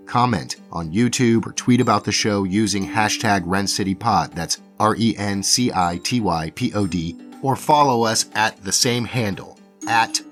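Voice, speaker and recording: Low-voiced man narrating fantasy over music. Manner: measured, deliberate scene-setting with dramatic pauses. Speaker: game master and host. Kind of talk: narrating a tabletop fantasy game.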